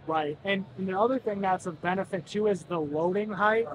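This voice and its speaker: A man in his thirties, a product representative giving a polished demonstration of a utility vehicle in a large indoor expo hall. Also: a little fast. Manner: polished, explanatory sales pitch. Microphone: camera mic at close range. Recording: crowd noise of a busy expo hall.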